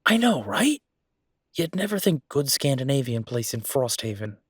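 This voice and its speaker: Husky, chatty man acting as easygoing boyfriend restaurateur. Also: medium pitch, normal speed, no echo, studio quality. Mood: enthusiastic, opinionated. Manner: chatty, animated asides, emphatic repetition. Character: werewolf boyfriend, passionate easygoing male restaurateur. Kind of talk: conversational one-sided roleplay audio drama.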